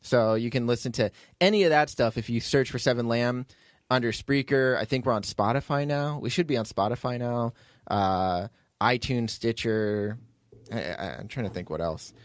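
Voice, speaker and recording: A man's voice: medium pitch, moderate pace, no echo, studio quality. A man hosting a podcast, chatting casually and explaining things in a rambling way as he plugs shows. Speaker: podcast host and producer.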